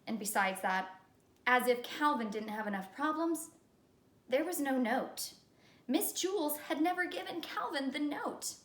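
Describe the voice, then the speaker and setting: A woman reading a children's story aloud in an expressive, teacherly storytelling way. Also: high-pitched, measured pace. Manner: expressive storytelling with character dialogue. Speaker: elementary school teacher. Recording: echoey room.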